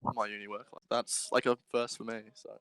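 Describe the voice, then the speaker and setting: Young male podcast co-host, mid-range voice, relaxed conversational delivery, loosely phrased. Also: medium pitch, fast talker, clean tone, no echo, rough recording. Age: young adult. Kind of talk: casual chat. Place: remote group online call.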